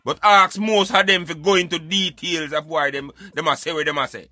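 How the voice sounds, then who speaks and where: A man's voice: fairly high pitch, steady tone, fairly quick, pushed and bright, no room sound, studio quality. A Jamaican man giving emphatic, opinionated social commentary in Patois, like a street philosopher preaching on a radio show.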